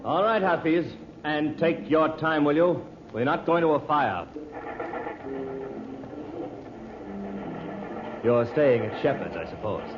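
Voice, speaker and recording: An actor playing a polite, persistent foreign correspondent in an old radio drama. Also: male voice, on the high side, expressive, moderate pace, background noise, lightly acted. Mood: polite, curious. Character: prying, genial male foreign correspondent, persistent and suave. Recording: dull old radio recording with music.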